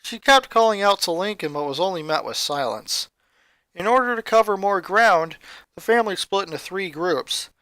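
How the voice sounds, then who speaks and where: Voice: medium pitch, expressive, normal speed, bright, forceful tone, no room sound. A reader giving a scripted narration of a story, putting on voices for the characters. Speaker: fan fiction reader.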